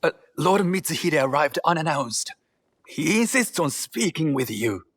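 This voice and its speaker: An actor playing a hesitant, deferential house servant in a video game, apologetic and formal. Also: male voice, on the high side, sing-song, a little slow, a bit bright, heavily acted. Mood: nervous deference. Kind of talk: video game cutscene acting.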